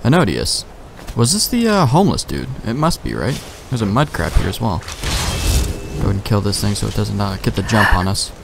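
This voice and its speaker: A man's voice: lowish voice, sing-song, normal speed, creaky, heavy background noise. A man giving casual, sweary let's play commentary, thinking aloud in a laid-back way. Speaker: gamer, let's play host.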